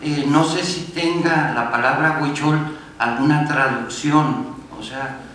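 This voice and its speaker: A man in his fifties, politely asking halting questions from the audience. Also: on the high side, fairly bright tone. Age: 50s. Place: festival screening hall. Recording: echoey hall, distant mic, faint noise.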